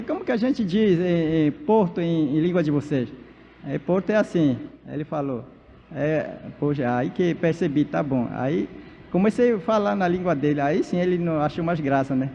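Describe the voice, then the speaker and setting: A man whose first language is Baniwa, a pastor and missionary from Amazonas, preaching at a church conference in halting speech full of fillers and restarts. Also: a little high, mellow, a little hiss or hum. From Amazonas, Brazil. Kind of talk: sermon at a church leaders' conference. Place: large church hall. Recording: dull, reverberant church PA recording.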